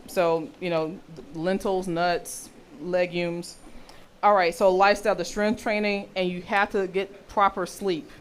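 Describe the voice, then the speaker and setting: A woman with a deep voice for a woman, teaching a health session and often checking in with her listeners. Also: level tone, measured pace, a little hiss or hum. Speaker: health and intimacy educator.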